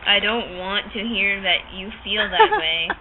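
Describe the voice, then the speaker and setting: A woman's voice: medium pitch, lively intonation, measured pace. A woman voicing a brooding, anguished young man in an overwrought storybook reading. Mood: anguished, urgent. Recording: tinny, band-limited, noisy amateur recording.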